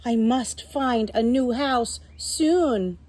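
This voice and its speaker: Storybook hermit crab played by a woman reader: earnest, courteous, wistful, highish pitch, expressive. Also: very slow. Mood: worried, wistful. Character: polite, wistful young hermit crab seeking a home.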